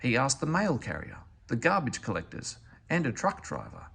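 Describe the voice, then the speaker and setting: A man reading a children's storybook aloud as an expressive narrator. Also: mid-range voice, moderate pace, vocal fry, husky. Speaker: storybook reader.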